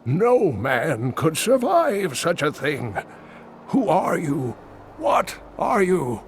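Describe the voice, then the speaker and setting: A man's voice: fairly high pitch, huge pitch swings, extremely slow, slight background noise, exaggerated voice. A man voicing a wise old scholar and mentor, earnest and inquisitive, in a video game.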